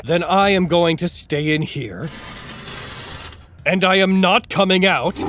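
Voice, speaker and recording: Actor as an earnest, exasperated man, slightly high-pitched. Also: vocal fry, full character voice. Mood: exasperated, defiant. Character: earnest, exasperated male site staffer caught between factions. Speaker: actor in an audio drama. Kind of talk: audio drama acting with formal log narration.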